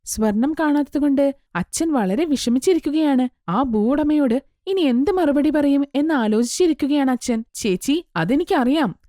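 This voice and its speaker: A woman as a high-voiced, outspoken young daughter. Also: animated, moderate pace, dark tone, dry recording, studio quality. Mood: urgent, persuasive. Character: young goldsmith's daughters, outspoken, questioning, persuasive. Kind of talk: children's story character acting.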